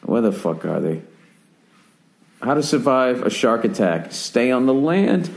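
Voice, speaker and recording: A man's voice: medium pitch, big pitch swings, a little slow, vocal fry, soft background music. A man in his forties with an American accent, ranting and telling stories as a podcast host in casual chat. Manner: rambling, profane, ranting storyteller. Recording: roomy, echoey recording.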